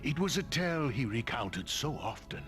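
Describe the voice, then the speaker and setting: Male actor as scornful mythic prince, theatrical narration, mid-range pitch, dramatic pauses, music underneath. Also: sing-song, close to an everyday voice. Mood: grand, ominous. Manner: pompous, sardonic, dramatic pauses. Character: vain, scornful trickster prince of a mythic realm. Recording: music behind the voice.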